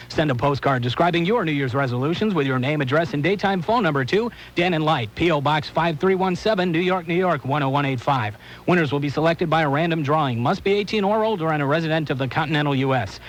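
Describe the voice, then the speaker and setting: A man's voice: fairly high pitch, low-quality recording. A man with an American accent reading a scripted radio promotion as an announcer.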